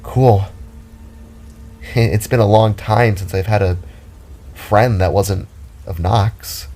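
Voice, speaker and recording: A man's voice: lowish voice, fairly even intonation, slow pace, mellow, faint music behind. A man voicing a playful, kind young girl in an audio drama, with a casual, teasing manner. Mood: bashful.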